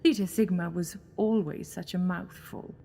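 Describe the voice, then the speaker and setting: A woman's voice: lowish voice, fairly even intonation, moderate pace, vocal fry, dark tone, slight background noise. A woman playing a sinister alien posing as a goddess, coaxing and theatrical, seductive and mocking, in an audio drama. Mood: flirtatious, mocking. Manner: coaxing, theatrical, purring endearments.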